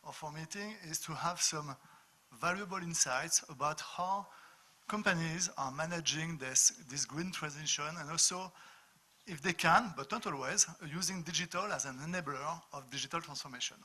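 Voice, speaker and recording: A man's voice: fairly high pitch, moderate pace, sharp tone. A man moderating a business panel discussion, speaking hesitantly with many fillers and restarts. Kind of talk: moderating an open workshop discussion.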